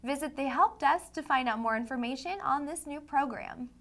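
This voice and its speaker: High-voiced young female anchor. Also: moderate pace. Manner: bright, read-aloud anchor cadence. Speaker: news anchor. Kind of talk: scripted news reading.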